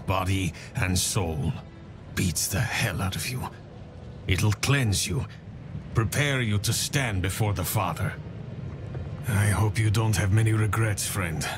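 Low, slow-spoken weary soldier. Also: male voice. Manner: wry, downbeat, understated. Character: weary guilt-ridden male soldier, gruff but friendly. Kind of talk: dramatic game dialogue.

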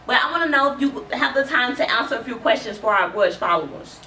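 A woman in her thirties hosting an interview show with brisk, cheerful presenter patter. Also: high-pitched, lively intonation, pushed and bright. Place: small studio office. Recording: echoey room, mic far off, faint noise.

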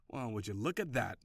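A man's voice: mid-range voice, gravelly, slightly rough, dry recording, full character voice. Man playing a stressed, swearing boyfriend. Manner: sighs often, curses when frustrated, softens into affection. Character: stressed, overworked office boyfriend, gruff but tender. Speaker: voice actor. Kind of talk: audio roleplay.

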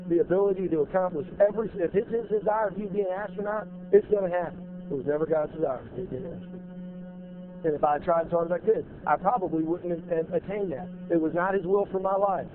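A man in his thirties delivers a sermon, his voice pitched high. He presses his points hard and repeats key phrases. The lapel-mic audio sounds thin and phone-like, with a faint hiss behind it. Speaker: pastor. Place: large church sanctuary with choir behind. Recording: tinny narrow-band audio, faint noise.

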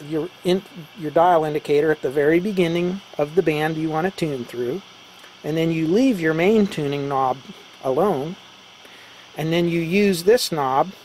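Higher-voiced man explaining casually. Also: slight background noise, low-quality recording. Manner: informal, conversational, step-by-step. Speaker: radio hobbyist presenter. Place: indoors beside radio equipment.

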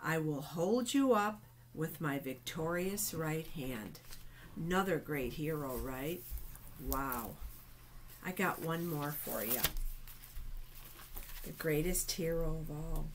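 A middle-aged woman hosting a children's storytime, reading aloud with deliberate, expressive phrasing and pauses. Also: sits low, a little hiss or hum. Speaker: children's storytime host at a church. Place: small indoor office.

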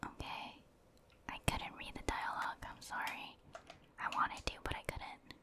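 A woman giving ASMR gameplay commentary in a breathy whisper, chatting in short murmured asides as she plays. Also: a little slow. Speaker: gaming streamer and ASMR host.

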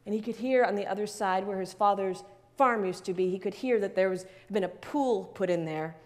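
A middle-aged woman pastor delivers an earnest, measured sermon in a mid-pitched voice. She leans on repeated phrases to build her point. Her voice rings out with a long reverb in a large sanctuary, picked up from a distance.

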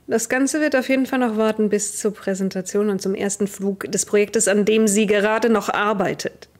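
A woman's voice: mid-range voice, steady tone, moderate pace, darkish tone. A young woman narrating and refereeing a tabletop roleplaying game as game master on an online video call.

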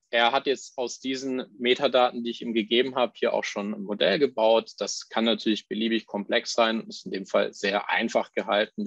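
Man, software demo presenter, gravelly voice, step-by-step explaining, dull recording. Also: lowish voice, dry recording. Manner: explains step by step while clicking, fillers and restarts. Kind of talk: live software demo talk.

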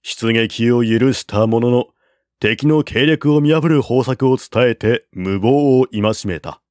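A man reading a classical historical novel aloud as narrator, in a steady, deliberate manner on a close mic. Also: medium pitch, dry recording.

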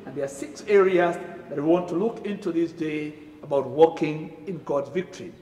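A middle-aged man with a Kenyan accent, preaching a sermon in a church, emphatic and rising loud on key phrases. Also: on the high side, moderate pace. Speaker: pastor. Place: church sanctuary, indoors. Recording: echoey room reverb.

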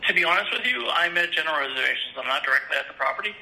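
A man's voice: fairly high pitch, expressive, very fast, creaky, bright, forceful tone, roomy sound, low-quality recording. A man taking a phone booking as a reservations agent, polite, apologetic and hesitant. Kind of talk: customer service phone call.

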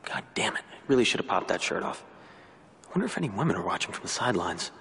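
A man playing a vain, menacing narcissist in a sitcom, with a creepy voice, grandiose and deliberately dramatic in delivery. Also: lowish voice, very animated, background noise. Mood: self-admiring. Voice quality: creepy voice, slipping into breathy whispers. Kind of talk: sitcom monologue acting.

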